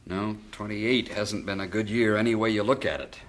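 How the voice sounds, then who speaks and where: A man's voice: on the low side, fairly even intonation, normal speed, close to an everyday voice. A man playing a fond philosophical father. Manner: gentle, wry, philosophical. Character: fond widowed father, stock investor. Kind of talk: vintage radio drama acting.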